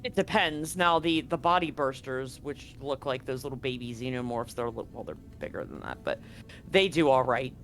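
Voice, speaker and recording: A man's voice: mid-range voice, lively intonation, fairly quick, fairly bright tone, soft background music. A man running a tabletop horror game as game master, narrating loosely and correcting himself as he goes. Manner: loose, conversational, self-correcting.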